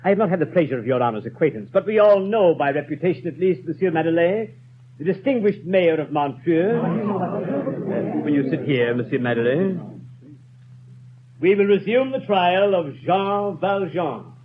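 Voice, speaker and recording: An actor playing a formal, courteous presiding judge in a 1930s radio drama. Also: male voice, a little high, animated, moderate pace, a crowd in the background, lightly acted. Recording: dull noisy 1930s radio broadcast.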